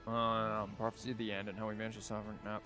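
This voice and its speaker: A man's voice: on the low side, monotone, quick pace. A man livestreaming a game, chatting casually in a rambling, wry and sarcastic way. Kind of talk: casual chat and commentary while streaming a game. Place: live stream from an indoor setup. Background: game music.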